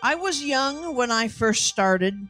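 Slow, animated older woman preaching. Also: a little high, soft background music. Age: 60s. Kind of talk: sermon delivered in short phrases for interpreting.

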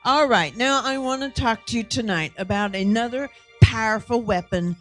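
A woman in her sixties preaching a sermon emphatically and deliberately, in short phrases with pauses. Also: on the high side, faint music behind. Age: 60s.

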